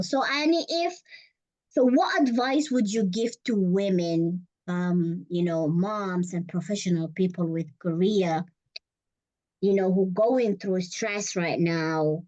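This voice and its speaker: A woman's voice: lowish voice, mellow, lo-fi sound. Effusive woman hosting an interview. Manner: effusive, exclaims often. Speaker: podcast host. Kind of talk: casual interview chat.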